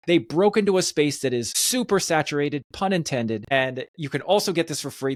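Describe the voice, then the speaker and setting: A man's voice: fairly high pitch, no room sound, studio quality. A man hosting a podcast, chatting casually in an emphatic, enthusiastic way. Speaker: podcast host.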